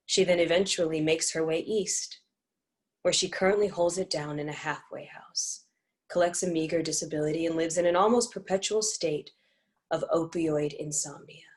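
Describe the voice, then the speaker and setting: A woman reading scripted dramatic narration and stage directions in a measured, deliberate way. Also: deep voice.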